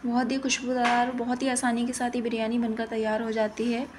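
A woman's voice: fairly high pitch, monotone, a little fast, background noise. A woman giving plain-spoken, step-by-step instructions in a recipe voice-over as host of a cooking channel.

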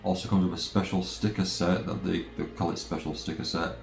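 A low-voiced guy hesitantly chatting to his stream viewers from an echoey room, game music playing behind him. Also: flat delivery, creaky. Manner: halting, hesitant delivery. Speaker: let's play streamer. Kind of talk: casual live stream chat. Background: game background music.

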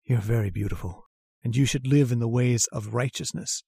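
Gravelly mad preacher-like killer. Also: male voice, medium pitch, moderate pace, no echo, clean studio sound. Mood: soft menace. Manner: preachy, hushed and menacing, swelling to ranting. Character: mad murderous male lodger, pious, scripture-quoting zealot. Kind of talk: old-time radio horror acting.

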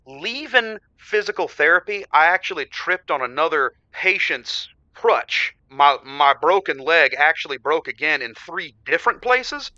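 A man's voice: fairly high pitch, animated, measured pace, hardly any pauses, bright, forceful tone, dry recording, heavily acted. A man playing a hapless, lovestruck radio caller who talks earnestly about his troubles, on a slightly dull line.

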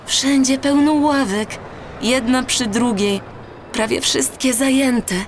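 A woman's voice: high-pitched, takes it slowly, slight background noise. An actress playing a tender young woman full of wonder in an audio drama, speaking in a wistful, marvelling way. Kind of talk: Polish audio drama dialogue.

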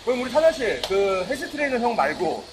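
A man hosting a casual interview, bubbly and quick to laugh, with lots of exclamations. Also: very high voice, sing-song, crowd noise, poor audio. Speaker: show host. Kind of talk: lively interview chat.

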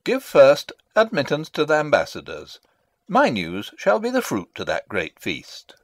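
An actor playing a fussy, self-assured older royal counsellor in a classical drama reading, wordy and pompous. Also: male voice, a little high, wildly swooping pitch, measured pace, no room sound, studio quality, full character voice. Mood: self-satisfied.

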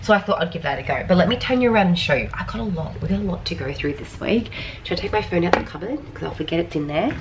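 Sniffly Australian mum, low voice. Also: female voice, lively intonation, quick pace, a little hiss or hum, poor audio. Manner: chatty, rambling, frequent ums. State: sniffly, losing her voice. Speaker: vlogger. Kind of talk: casual grocery haul vlog. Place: home kitchen, indoors.

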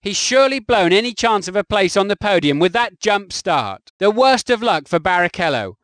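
Lively, high-voiced race commentator. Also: male voice, no room sound, clean studio sound. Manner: measured, matter-of-fact analysis. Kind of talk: recorded game commentary lines.

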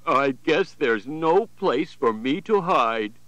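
Gentle, courteous male dinosaur for a storybook, read by a man, raised pitch, unusual timbre. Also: animated, takes it slowly, dry recording, low-quality recording. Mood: friendly. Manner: polite, cheerful.

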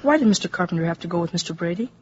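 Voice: medium pitch, animated, very fast, a little hiss or hum, lightly acted. A performer playing a chatty, eager young boy in a 1950s radio drama. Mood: excited. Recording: dull 1950s radio recording.

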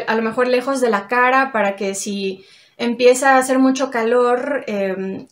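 A young woman, chatting casually and expressively as a beauty vlogger reviewing products from her bedroom. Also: sits high. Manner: chatty, expressive, uses diminutives. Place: small bedroom with vanity mirrors, indoors.

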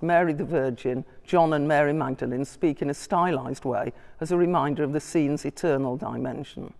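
Older female lecturer, measured and wry, distant mic, long church reverb. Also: sits low, darkish tone. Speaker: guest lecturer. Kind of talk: lecture read from notes. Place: large church, indoors. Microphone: far camera.

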